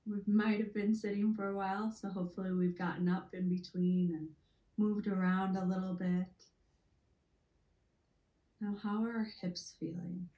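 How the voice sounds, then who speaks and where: Female meditation guide, mellow tone, slow soothing delivery with long pauses, echoey room. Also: medium pitch, little pitch movement. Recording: roomy, echoey sound.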